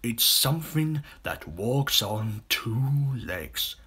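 A man voicing a sly, boastful, greedy crocodile villain in a children's storybook, in a hissing half-whisper with drawn-out, gloating words. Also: mid-range voice, lively intonation, bright, forceful tone, slightly rough, exaggerated voice. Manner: slow, gloating, savouring menace.

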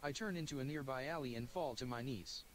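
A computer voice of a man reading a first-person story aloud in an even, mechanical way. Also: mid-range voice, fast, slight background noise. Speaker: text-to-speech reader.

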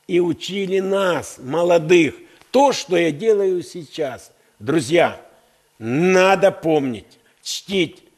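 A man in his sixties giving an earnest patriotic interview as a studio guest, halting and emphatic in a declamatory way. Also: on the high side, breathy edge. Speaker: university rector, interview guest. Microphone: headset.

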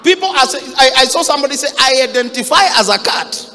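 High-voiced Kenyan preacher, fiery. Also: male voice, expressive, fast, music in the background. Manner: fiery, shouting emphasis, mixes Swahili quotes. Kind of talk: sermon. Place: large church hall. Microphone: handheld mic. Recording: reverberant church hall.

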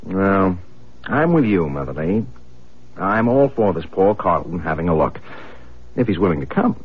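A man's voice: low-pitched, very animated, moderate pace, breathy edge, heavily acted. A man playing an affable, sceptical young husband in a conversational, hesitant vintage radio drama manner. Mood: agreeable. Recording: muffled, noisy old radio broadcast.

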